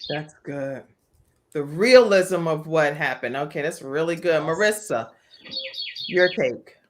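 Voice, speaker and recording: A woman's voice: low voice, expressive, slow pace, a little hiss or hum. A woman talk show host interviewing a panel of guests, speaking emphatically as she responds to them.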